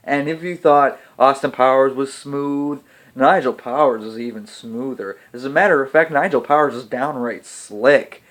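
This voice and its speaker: A man giving a casual video film review, chatty and hesitant with frequent pauses. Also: medium pitch, fairly bright tone. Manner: hesitant, lots of ums and restarts, chatty. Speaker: movie reviewer.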